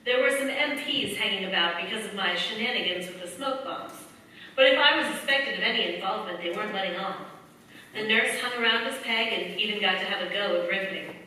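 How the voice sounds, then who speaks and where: A woman's voice: medium pitch, brisk, bright, forceful tone, a little hiss or hum, close to an everyday voice. A woman playing a wartime heroine who narrates her exploits in a staged radio play, recorded far off in an echoey hall. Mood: matter-of-fact. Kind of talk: scripted first-person radio play narration.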